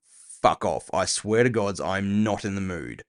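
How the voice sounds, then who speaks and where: A man playing a gruff, impatient cyberpunk bounty hunter, terse and sardonic, in an audio drama. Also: low voice, expressive, a little slow. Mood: irritated.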